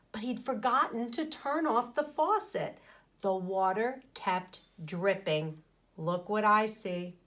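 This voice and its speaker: A woman teacher reading a children's story aloud expressively, pausing to explain things to her young listeners. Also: mid-range voice, fairly bright tone. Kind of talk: animated storybook read-aloud with asides to children.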